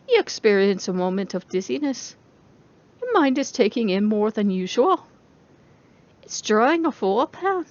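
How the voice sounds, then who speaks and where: A woman's voice: fairly high pitch, a little mellow, muffled recording. A woman voicing an intense female robotics professor who lectures her class in a didactic, emphatic way, as in an audiobook. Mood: explaining.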